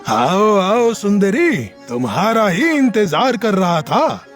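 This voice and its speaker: A man acts an earnest male character from a children's cartoon fable. His voice is unusually high for a man and moves widely up and down, with a dramatic delivery over faint music. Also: sing-song, slow pace, heavily acted. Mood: stern. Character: male pigeon father, also solemn god of death. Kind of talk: children's animated moral story acting.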